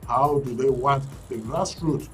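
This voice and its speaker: A man's voice: medium pitch, measured pace, far from the mic, over music. A man with a Nigerian English accent hosting a current affairs show, reading news snippets with frequent fillers and restarts. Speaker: radio and TV current affairs host.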